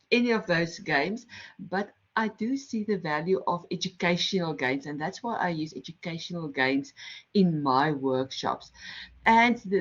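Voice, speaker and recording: A woman's voice: medium pitch, fairly bright tone. A middle-aged woman with a South African accent, facilitating an online workshop in a friendly, explanatory way with frequent ums and restarts. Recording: slightly dull online call audio.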